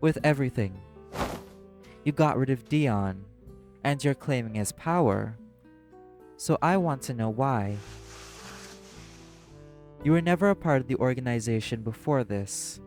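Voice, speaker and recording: A man playing an apathetic, guarded socialite in a crime syndicate, speaking in a measured, deliberate way in an audio drama. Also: medium pitch, animated, a little mellow, soft background music. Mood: tense, probing. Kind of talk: dramatic audio roleplay acting.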